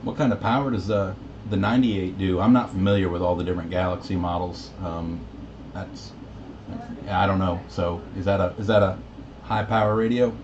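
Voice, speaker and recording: Male CB operator, low-pitched, casual banter, heard through a dull radio channel with clear noise. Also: fairly even intonation, moderate pace. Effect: CB radio transmission. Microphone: CB radio microphone, heard through receiver. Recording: muffled CB radio reception with static.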